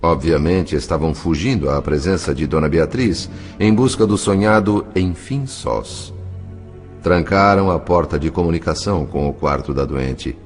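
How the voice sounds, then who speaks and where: A man narrating a dramatised audiobook in a measured, literary, expressive way. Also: a bass voice, soft background music.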